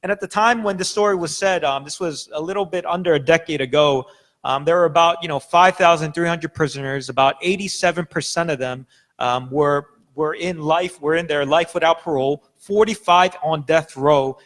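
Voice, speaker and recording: A man's voice: a little high, normal speed, fairly bright tone. A young adult man preaching a sermon as a pastor, talking casually with fillers and restarts. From United States. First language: Korean. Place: church sanctuary.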